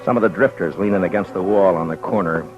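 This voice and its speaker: A man playing a stern, weary but fair Old West marshal in a radio western, speaking tersely and deliberately. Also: deep voice, lightly acted. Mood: reflective, weary. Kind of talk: old-time radio western drama acting and narration. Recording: dull vintage radio recording with music.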